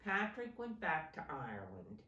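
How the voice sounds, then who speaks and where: A woman's voice: low voice, huge pitch swings, unhurried, bright, forceful tone. A woman reading a picture book aloud to young children like a teacher, explaining simply and prompting her listeners. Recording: roomy, distant microphone, faint background noise.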